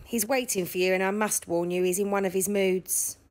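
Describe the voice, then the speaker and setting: A kindly, fussing landlady acted by a woman speaks in a solicitous, confiding way. Her pitch is average for a woman, in radio-play style. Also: fairly even intonation, brisk, close to an everyday voice. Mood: concerned. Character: fussy, kindly London landlady.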